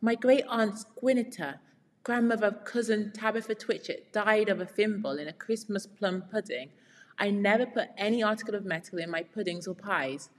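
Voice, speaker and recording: A woman's voice: mid-range voice, moderate pace, natural voice. A woman voicing a prim, firm female cat hostess in a storybook, polite but severe. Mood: disapproving. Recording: very reverberant room.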